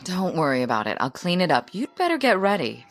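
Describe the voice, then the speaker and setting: Radio drama wife: breezy, casual, lowish voice with lively swings. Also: female voice, a little fast. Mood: cheerful, coaxing. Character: breezy, dismissive wife humoring her agitated husband. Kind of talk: modern radio drama acting. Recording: faint music behind the voice.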